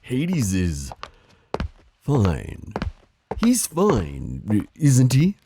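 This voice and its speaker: Brooding, worried god, played by a male actor: husky, slow, hugely expressive, halting theatrical delivery. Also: mid-range voice, slight background noise, heavily acted. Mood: anxious, brooding. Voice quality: husky, dark. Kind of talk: audio drama acting.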